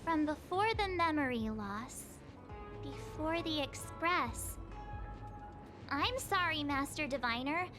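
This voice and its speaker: A girlish young video game heroine with a high voice. She is bubbly, candid and chatty, talking in a casual, expressive way. Music sits clearly behind her. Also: takes it slowly. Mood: puzzled, apologetic. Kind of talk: scripted video game voice acting. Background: prominent game music.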